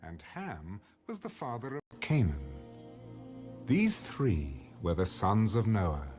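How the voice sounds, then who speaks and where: A man reading scripture as a scripted audio Bible narration, in a measured, expressive storytelling delivery. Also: fairly low pitch, music in the background.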